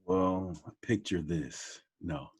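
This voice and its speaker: A man's voice: low-pitched, animated, extremely slow, darkish tone, breathy edge. A man in his forties, a teacher and podcast guest, talking in casual conversation, humble and friendly, calling people "man" often. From Texas.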